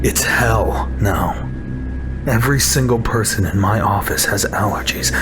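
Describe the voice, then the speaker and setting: A man voicing a neurotic, brooding office worker in a dramatic first-person horror narration, deliberate and often dropping to a breathy half-whisper. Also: on the low side. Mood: tense, restrained. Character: neurotic male office worker unraveling over other people's noises. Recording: loud music under the voice. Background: music.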